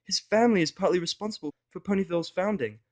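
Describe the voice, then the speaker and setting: A man's voice: high-pitched, quick pace, voice pushed up, natural voice. A man voicing a playful, cheeky young female pony in a fan fiction audio story, chatty and teasing. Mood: hushed, explaining.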